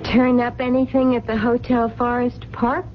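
An actress playing a lovelorn, stubborn, anxious woman in a 1970s radio drama, with halting phrases and repeated words. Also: on the high side, level tone, faint music behind, natural voice. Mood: anxious, curious. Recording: dull, faintly noisy 1970s radio broadcast.

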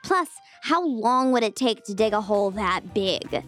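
A woman's voice: medium pitch, animated, unhurried, a bit bright. A woman voicing a bold, no-nonsense young girl superhero in a children's adventure story, confident and matter-of-fact. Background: faint music.

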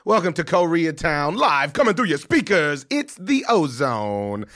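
A man's voice: fairly high pitch, big pitch swings, unhurried, a bit bright. A man hosting a casual sports talk show, speaking with a head cold and riffing emphatically. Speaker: podcast host. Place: studio in Los Angeles.

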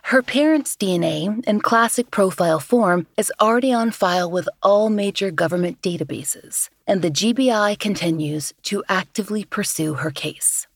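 A woman with a deeper, lower voice, hosting a podcast and giving calm, measured scripted narration. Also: no room sound, studio quality. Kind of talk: scripted true-crime narration.

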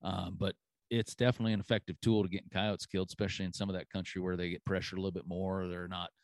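A man's voice: sits low, little pitch movement, rapid-fire, no room sound. A man hosting a hunting podcast, chatting casually and easygoing, with lots of "you know" fillers. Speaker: podcast host.

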